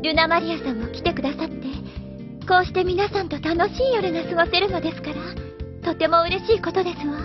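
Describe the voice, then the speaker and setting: A woman's voice: very high-pitched, fast talker. A woman playing a serene, formal young noble lady in an anime audio drama, with genteel, very polite phrasing. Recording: dull lo-fi audio. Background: music.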